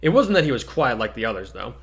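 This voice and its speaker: A man's voice: medium pitch, big pitch swings, quick pace, fairly bright tone. A man reading scripted fiction aloud as a narrator, in a dramatic story-reading style.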